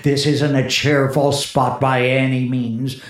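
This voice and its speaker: An actor playing a skeptical, uneasy disc jockey broadcasting from a haunted house in a 1940s radio drama. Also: male voice, mid-range voice, flat delivery, slow, natural voice. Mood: nervous. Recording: roomy, echoing 1940s radio recording.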